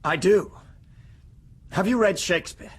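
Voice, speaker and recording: A man's voice: high voice, measured pace, breathy edge, no echo. An actor playing an earnest, idealistic young male outsider who speaks plainly and directly, in a radio drama.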